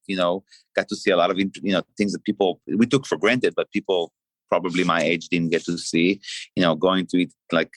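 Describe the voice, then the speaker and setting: Deep low guy from israel, halting podcast chat, lots of uhs, dry close sound. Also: a bit bright, no echo. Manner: halting, many restarts and fillers. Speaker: chef and restaurant owner. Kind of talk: casual podcast interview.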